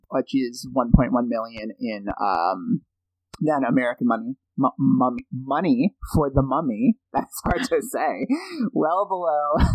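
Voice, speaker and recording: Man, podcast guest, casual storytelling, jokey, medium pitch, dry close mic. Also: no echo, rough recording. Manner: chatty, jokey, quotes sources aloud.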